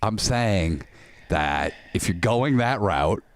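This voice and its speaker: A man's voice: lowish voice, lively intonation, slow pace, no echo, clean studio sound. A man co-hosting a casual comedy podcast, rambling and swearing, often trailing off and restarting. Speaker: podcast co-host.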